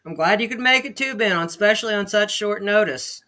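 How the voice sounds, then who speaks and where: A man's voice: sits high, fairly quick, sharp tone. A man hosting a casual online interview, upbeat and chatty with plenty of fillers. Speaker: interview host.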